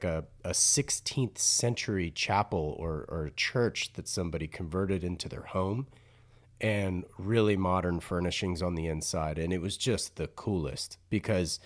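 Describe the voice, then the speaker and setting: A podcast host with a very low voice asks his questions in an eager, chatty way. He talks casually and reacts warmly to his guest. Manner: enthusiastic, chatty interviewer. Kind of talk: casual interview chat.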